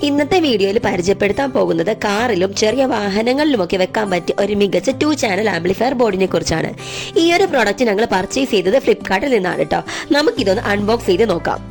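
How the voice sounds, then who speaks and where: A woman narrates a product review in a scripted presenter style. Her voice sits at a middle pitch, with music playing softly behind her. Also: expressive, fast talker, creaky.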